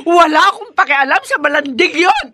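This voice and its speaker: A woman's voice: extremely high pitch, very animated, moderate pace, sharp tone, slight background noise, full character voice. An actress playing a stubborn mother besotted with her lover, agitated and emphatic, in a Filipino radio drama. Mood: defiant, upset.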